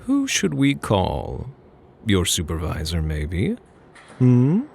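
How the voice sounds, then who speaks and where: Casting: male actor for playful, smug gentleman thief, ASMR roleplay; gravelly, very animated delivery, teasing, flirty, mock-courteous. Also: sits low, slow pace, slight background noise, full character voice. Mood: smug, mock-caring. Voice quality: husky, gravelly. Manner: teasing, mock-polite, theatrical.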